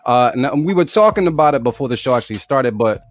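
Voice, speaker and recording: A man's voice: mid-range voice, expressive, quick pace. A man hosting a podcast, chatting casually and calling his guests "man" and "bro". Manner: laid-back, peppers talk with "man" and "bro". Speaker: podcast host. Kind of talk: casual interview chat.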